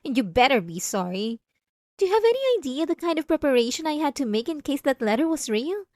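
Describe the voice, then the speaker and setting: A woman's voice: sits high, very animated, fast, darkish tone, no room sound, clean studio sound. A woman playing a possessive, obsessive wife in an intimate, emotional ASMR roleplay, speaking straight to the listener. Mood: hurt, scolding. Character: possessive, obsessive yandere wife, clingy and menacing.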